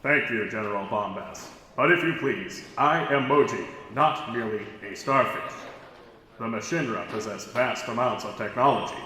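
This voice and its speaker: A man performs a courteous starfish alien for an audio drama. The voice is bright and sharp, speaking in measured, formal sentences with gentle weariness. It rings with heavy echo and a long reverb tail. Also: medium pitch, close to an everyday voice. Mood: formal, explaining.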